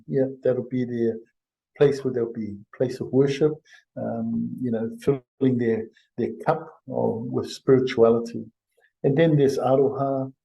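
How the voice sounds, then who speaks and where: A very dark, soft-edged male voice. He presents informally, as in a webinar, pausing often and feeling his way through sentences in a conversational manner. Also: medium pitch, creaky, low-quality recording. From Samoa. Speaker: health and safety presenter.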